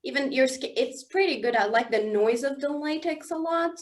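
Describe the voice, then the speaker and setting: Woman, English, halting delivery, fairly high pitch, guest in a casual studio chat. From southern Germany. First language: Swiss German. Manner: halting, searching for English words, laughs often. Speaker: interview guest. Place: indoor studio under lights.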